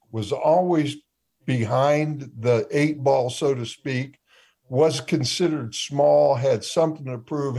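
A man's voice: medium pitch, mellow, husky. A man co-hosting a casual sports talk panel, who poses long, probing questions to the others.

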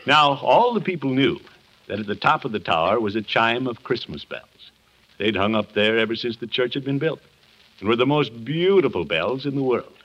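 An American actor playing a kindly, jovial middle-aged uncle in a 1950s radio comedy, with an avuncular, theatrical storyteller's delivery. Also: male voice, medium pitch, animated, moderate pace, a bit bright. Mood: gentle storytelling. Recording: muffled old 1950s radio broadcast.

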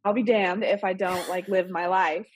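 A woman's voice: medium pitch, steady tone, normal speed, breathy edge. A woman in her thirties, a little sick and nasal, chatting casually as a podcast guest with lots of fillers. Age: 30s. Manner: chatty, rambling with many fillers and laughs. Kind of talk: casual interview chat.

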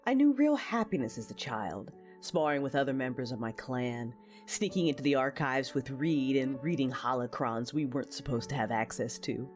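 A woman's voice: a bass voice, animated, normal speed, hardly any pauses, a little mellow, soft background music. A woman playing a reflective, war-weary young female knight, narrating her memories earnestly in an audio drama. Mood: fond, nostalgic. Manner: earnest, reminiscing.

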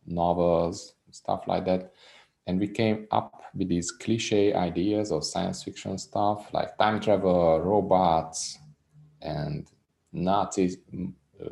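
Low gravelly Hungarian man. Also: warm tone. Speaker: guest musician. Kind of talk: casual interview chat over video call.

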